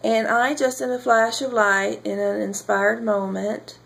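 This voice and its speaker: A woman speaking informally as a spiritual teacher announcing classes, pausing often and drawing out words in halting phrases. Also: a little high, little pitch movement, creaky, a bit bright, poor audio. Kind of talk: informal spoken announcement to camera or followers.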